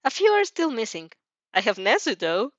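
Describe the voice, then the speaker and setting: A woman voicing a proud, protective teenage girl with a dry, deadpan sarcasm for a story reading. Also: fairly high pitch, moderate pace, dry recording, lo-fi sound. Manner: deadpan, gloating.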